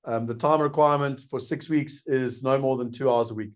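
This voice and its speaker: A middle-aged man with a South African accent, hosting a live webinar and persuasively pitching an investment course, answering common questions himself. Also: steady tone, a little fast. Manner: earnest, persuasive, asks and answers his own questions. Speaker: investment program host.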